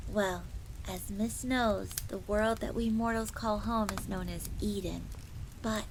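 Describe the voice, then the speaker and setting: A woman's voice: medium pitch, background noise, close to an everyday voice. A woman voicing a young, polite and eager fox maid who tells old lore in a roleplay audio drama. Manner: refers to herself in third person, explanatory.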